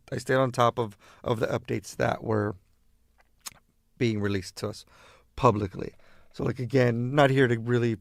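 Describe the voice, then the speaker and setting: A man's voice: medium pitch, creaky, no echo, clean studio sound. A man from Southern California hosting as a radio disc jockey, chatting in a laid-back, rambling, hesitant way. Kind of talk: laid-back radio chat between records. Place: garage.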